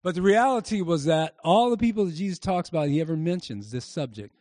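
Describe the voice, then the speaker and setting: Male pastor, high voice, emphatic preacher who works the congregation with call-and-response, dry close sound. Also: expressive, fast, darkish tone, dry recording. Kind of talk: sermon. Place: church service before a congregation.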